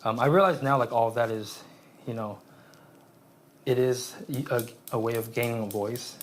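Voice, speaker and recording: A man in his late twenties from Vietnam, raised in San Jose, speaking as an interview guest in a halting, reflective way with many restarts. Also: mid-range voice, slight background noise. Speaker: guest, aspiring therapist.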